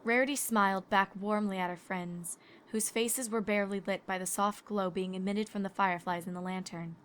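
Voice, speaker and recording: A woman's voice: medium pitch, steady tone, moderate pace. A woman reading scripted story narration. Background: music.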